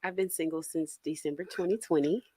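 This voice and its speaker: A woman's voice: sits low, steady tone, fairly quick, mellow, slightly rough, no room sound, studio quality. A woman of thirty-four from Dallas, Texas, casually introducing herself and answering as a dating show contestant. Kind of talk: casual chat.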